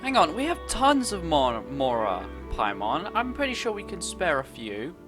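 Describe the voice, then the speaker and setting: Very low-voiced, playful woman gamer. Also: huge pitch swings, moderate pace, over loud music. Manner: teasing, jokey, prone to giggling. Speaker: gamer reading dialogue choices and commenting. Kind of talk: playful let's-play commentary.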